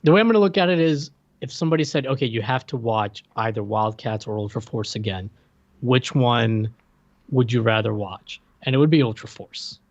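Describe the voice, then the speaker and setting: A man's voice: mid-range voice, brisk, no room sound. Stammering man, dull dry audio. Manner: stammering restarts. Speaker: podcast co-host. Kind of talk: casual chat.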